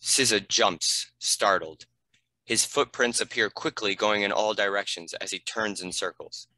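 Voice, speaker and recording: A man's voice: lowish voice, a little slow, bright, forceful tone, dry recording, rough recording. A man giving scripted narration, reading out the stage directions of a screenplay.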